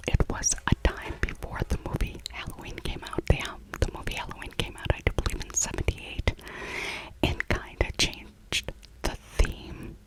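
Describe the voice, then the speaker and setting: Whispering middle-aged woman, ASMR voiceover. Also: low-quality recording. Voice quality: soft unvoiced whisper. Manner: repeats phrases softly. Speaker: ASMR creator. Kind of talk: whispered ASMR shopping voiceover, casual rambling.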